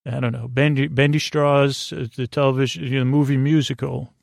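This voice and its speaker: Meandering, creaky-voiced man. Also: medium pitch, little pitch movement, no echo, clean studio sound. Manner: meandering, halting, frequently trailing off and doubling back; whimsical, goofy. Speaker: sleep podcast host. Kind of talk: rambling bedtime monologue meant to lull listeners to sleep.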